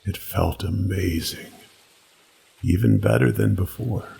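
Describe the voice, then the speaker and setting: A man narrating a scripted first-person horror story with deliberate, dramatic pauses. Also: sits low, gravelly, warm tone, a little hiss or hum.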